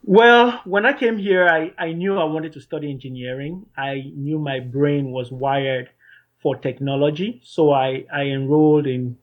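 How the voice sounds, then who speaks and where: Startup founder from Cameroon, thirties, slightly raised pitch, earnest and measured, muffled lo-fi line. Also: male voice, steady tone. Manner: measured, earnest, some restarts. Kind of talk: interview answers.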